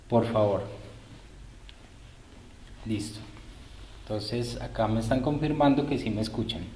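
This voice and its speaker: A man's voice: mid-range voice, dark tone, slight background noise. A man hosting a live stream, politely greeting and informing viewers in a hesitant, improvised way. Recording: roomy echo.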